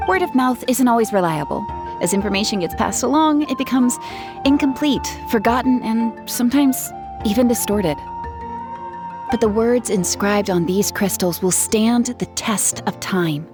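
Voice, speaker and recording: A woman's voice: fairly high pitch, moderate pace, close to an everyday voice. A woman voicing a young female adventurer in a game, upbeat and teasing, with a playful salesy flair. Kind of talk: game cutscene dialogue. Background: game music.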